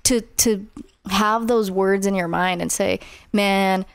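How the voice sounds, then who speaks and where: Young woman, musician guest, smooth resonant voice, dry close sound, chatty and animated. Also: medium pitch, takes it slowly, no echo. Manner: animated, acts out her own inner voice. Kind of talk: casual podcast interview.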